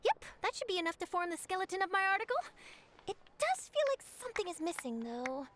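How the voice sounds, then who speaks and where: A woman's voice: very high-pitched, big pitch swings, fast talker, a bit bright, a little hiss or hum, full character voice. A woman playing an eager young female newspaper journalist in a video game, telling things theatrically, with music under her voice. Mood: dramatic, determined. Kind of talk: animated game voice acting.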